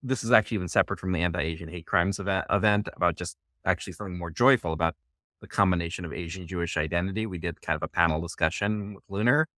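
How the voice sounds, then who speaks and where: Guy on a podcast, really low voice but bright and forceful, dry sound, energetic. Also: fast, dry recording. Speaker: community leader, podcast guest. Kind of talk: casual podcast conversation.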